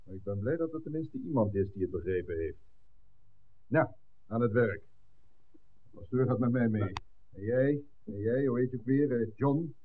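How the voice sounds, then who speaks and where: An actor playing a cold, commanding gang boss in a 1960s radio drama, giving measured threats and curt orders. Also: male voice, medium pitch, expressive, lightly acted. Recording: tinny, band-limited old radio recording.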